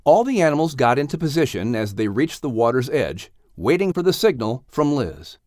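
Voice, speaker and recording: Male narrator for children's story. Animated melody, medium pitch, close studio-dry sound. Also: normal speed, dry recording. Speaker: audiobook narrator.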